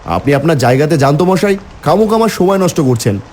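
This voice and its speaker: Male cartoon horror narrator: dark warm timbre, highly expressive pitch, theatrical first-person storytelling. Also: fast, slight background noise. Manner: theatrical, exclamatory in dialogue.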